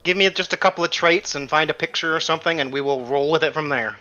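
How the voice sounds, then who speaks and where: A man with a bright, somewhat high voice acts as game master, talking players through the setting in a conversational, think-aloud way. The audio is a little dull, with faint music underneath. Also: fast talker. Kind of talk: casual explaining of game world lore.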